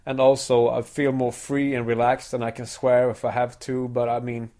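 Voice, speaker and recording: A man's voice: mid-range voice, level tone, normal speed, mellow. A man from Sweden whose first language is Swedish, hosting a podcast in casual chat and often repeating words as he gets going. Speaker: podcast host.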